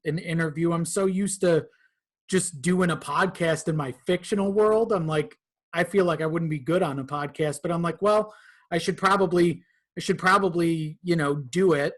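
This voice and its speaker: Man, fairly high-pitched, casual interviewee, hesitant, repetitive phrasing, conversational. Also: fairly bright tone. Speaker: podcast guest, audio drama creator. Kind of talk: casual chat.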